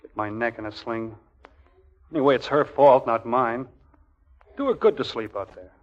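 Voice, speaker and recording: An actor playing a put-upon, exasperated young army lieutenant in a 1940s radio comedy, on a muffled old broadcast. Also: male voice, medium pitch, moderate pace, lightly acted. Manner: stammering, put-upon, grumbling. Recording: dull, band-limited 1940s radio broadcast.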